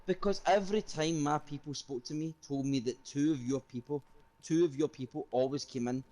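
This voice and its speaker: A man's voice: fairly high pitch, fairly quick, dark tone. Confrontational Scottish man firing questions. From Scotland. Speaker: game player. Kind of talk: heated in-character argument.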